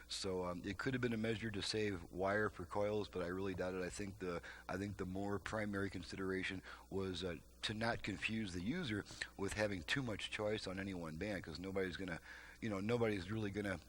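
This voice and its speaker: A man with a fairly low voice talks through a repair demonstration in a casual, unscripted way. He explains step by step, haltingly, often correcting himself. The recording has clear background noise with a hum. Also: a bit bright.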